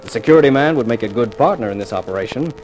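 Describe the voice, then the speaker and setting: An actor playing a cool, cocky hard-boiled sleuth in a 1950s radio crime drama, wry and taunting. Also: male voice, mid-range voice, lively intonation, racing, a little mellow, soft background music, lightly acted. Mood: narrating, wry. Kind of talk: 1950s radio drama acting and first-person narration. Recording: noisy old 1950s radio recording.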